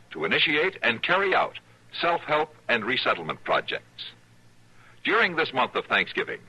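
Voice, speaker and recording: An earnest male announcer delivering an oratorical public appeal, his pitch rising and falling broadly. His voice is bright and sharp, with a husky edge. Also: low-quality recording.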